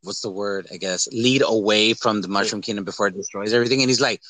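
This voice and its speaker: A man's voice: lowish voice, fast, a bit bright, dry recording, low-quality recording. A man co-hosting a podcast, talking casually about films in a rambling way and acting out quoted lines. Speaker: podcast co-host. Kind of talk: casual movie discussion.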